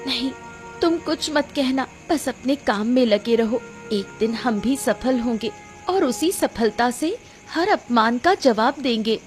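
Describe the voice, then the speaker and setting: A woman's voice: a little high, animated, measured pace, voice pushed up. A woman voicing a humble, wronged village wife, earnest and pleading, in a cartoon story. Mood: hurt, resolute. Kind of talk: cartoon story character acting. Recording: music under the voice.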